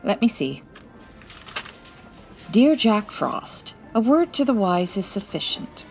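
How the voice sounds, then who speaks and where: A woman's voice: medium pitch, sing-song, slow, creaky, soft background music, exaggerated voice. A woman playing a confident, wry 1940s private detective in a radio-style comedy drama, breezy and teasing. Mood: brisk, confident. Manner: breezy, teasing, self-assured.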